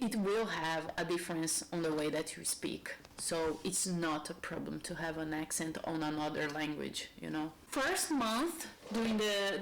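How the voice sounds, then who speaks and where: A woman's voice: medium pitch, slight background noise, poor audio. A Brazilian woman in her thirties, speaking English as a second language with a heavy Brazilian Portuguese accent, thinking aloud in a rambling personal vlog at home. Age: 30s. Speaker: YouTuber.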